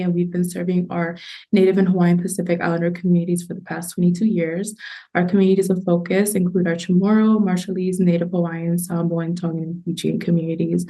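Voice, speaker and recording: A woman media coordinator giving an even, measured self-introduction on a virtual panel. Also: on the low side.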